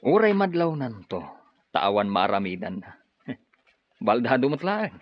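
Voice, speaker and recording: Husky, scheming villain with swooping delivery. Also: male voice, medium pitch, big pitch swings, a little slow. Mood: gloating, sly. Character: sly, scheming, mocking cousin after the money. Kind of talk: Ilocano radio drama villain acting. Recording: dull, lo-fi radio drama audio.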